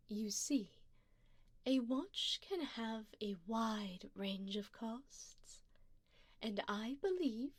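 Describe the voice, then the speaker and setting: A woman plays an elegant, wealthy vampire lady who dotes on and quietly owns her listener. Her voice is fairly high, soft and breathy, often sinking toward a whisper. She takes her time over each formal, coaxing phrase, gently commanding in an intimate ASMR roleplay, very dry and close to the ear. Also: dry recording. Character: wealthy, doting, possessive female vampire sugar mommy. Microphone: binaural 3Dio microphone, close up.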